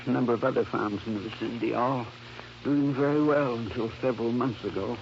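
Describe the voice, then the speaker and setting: An actor playing a sick, weary farmer in an old-time radio drama, earnest and fearful, with weak, labored delivery. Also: male voice, mid-range voice, fairly quick, lightly acted. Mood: weary, troubled. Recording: dull vintage radio recording, faint hum.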